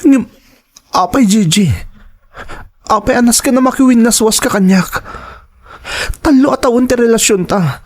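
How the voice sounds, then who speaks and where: A hurt young boyfriend acted by a man with an Ilocano accent and a high-pitched voice. He questions and pleads in an emotional melodrama style, sounding bewildered. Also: unhurried, long pauses. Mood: upset, pleading. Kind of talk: melodramatic audio drama acting.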